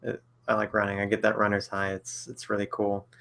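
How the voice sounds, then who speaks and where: Man, late twenties, low pitch, gravelly, hesitant rambling, frequent ums and chuckles, casual live Q&A. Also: completely flat delivery, a little fast, fairly bright tone. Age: 20s. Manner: rambling, hesitant, lots of fillers and self-corrections; chuckles often between thoughts. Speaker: author answering viewer questions.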